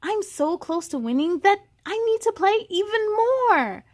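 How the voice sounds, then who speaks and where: A woman's voice: very high-pitched, big pitch swings, unhurried, darkish tone, pitched above the performer's own voice, heavily acted. A woman voicing a proud, eager young boy from a children's storybook in an emphatic, earnest way. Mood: pleading.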